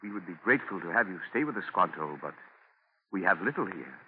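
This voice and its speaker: An actor playing a weary, courteous colonial governor, speaking formally in 1940s radio drama style. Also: male voice, sits low, expressive, fast talker, a little hiss or hum. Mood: weary, grateful. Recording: tinny 1940 radio recording.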